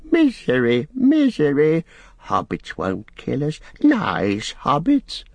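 A man's voice: on the high side, wildly swooping pitch, slow, vocal fry, dry recording, voice pushed up, heavily acted. A man voicing a wretched, cunning, self-pitying creature with a strained whine, repeating phrases and calling itself we, in an audiobook. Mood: wretched whining. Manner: hissing repetitions, speaks of himself as we.

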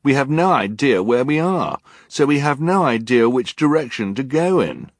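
A man's voice: low voice, lively intonation, takes it slowly, no echo, clean studio sound, full character voice. A man voicing an earnest, reasoning young boy from a storybook, emphatic and nervously defiant. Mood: exasperated.

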